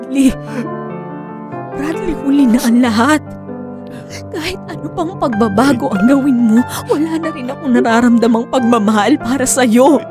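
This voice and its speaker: A woman's voice: high voice, moderate pace, close to an everyday voice. A woman acting in a radio drama as a fed-up, defiant unfaithful wife and mother, scolding with emphatic emotion. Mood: angry, accusing. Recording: music bed behind the voice.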